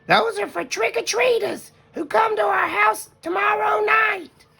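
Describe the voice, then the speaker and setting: Very high motherly bear. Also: unhurried, gravelly, full character voice. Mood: instructive. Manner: gently instructive, proper. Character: motherly bear, sensible, cheerful adult female. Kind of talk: children's storybook character voice.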